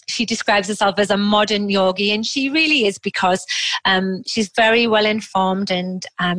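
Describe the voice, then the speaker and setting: A woman's voice: medium pitch, fairly bright tone, no echo, rough recording. A woman in her forties hosting a podcast, chatting casually and bubbly with a guest over a video call. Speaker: podcast host. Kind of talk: casual interview chat. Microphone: close podcast mic, video call.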